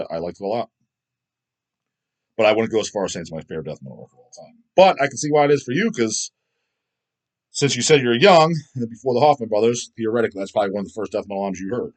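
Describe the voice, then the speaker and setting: A middle-aged man speaks at a quick clip in an average-pitched voice. He rambles casually as a video host answering comments, giving blunt, emphatic opinions and swearing heavily throughout. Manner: blunt, crude, heavy swearing.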